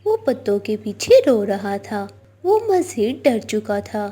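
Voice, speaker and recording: A woman reads the narration of a children's animated story. She takes it at a slow pace, as a scripted storyteller. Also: mid-range voice, husky.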